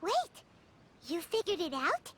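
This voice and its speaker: A tiny fairy sidekick from a video game, squeaky and extremely high. The childlike voice swoops with lively curiosity and feisty spirit, stretching its words slowly over clear background music. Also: female voice, background noise. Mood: curious. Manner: exclamatory, questioning, feisty. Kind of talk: English video game voice acting.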